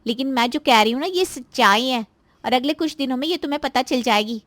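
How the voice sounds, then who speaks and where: A scheming married daughter from a cartoon family drama, acted by a woman, pitched fairly high. She nags, complains and insinuates with open spite. The sound is close and dry, with no room around it. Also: a little fast, a bit bright, no echo. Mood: insinuating, warning. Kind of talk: Hindi moral-story cartoon voice acting.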